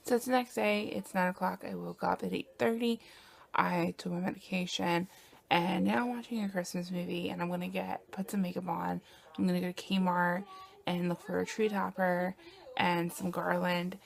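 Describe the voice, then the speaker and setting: A young woman talking softly in a personal video diary, often hushed and breathy, with sighs between phrases, sounding congested from a cold. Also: lowish voice, fairly even intonation, normal speed. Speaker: vlogger.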